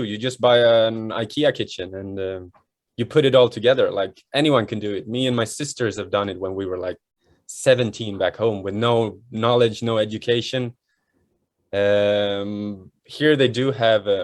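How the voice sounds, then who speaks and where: A young Swedish man chatting casually as an interview guest, relaxed and full of fillers, with a Swedish accent. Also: on the low side, no room sound, studio quality. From Sweden. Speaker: guest, model and home renovator.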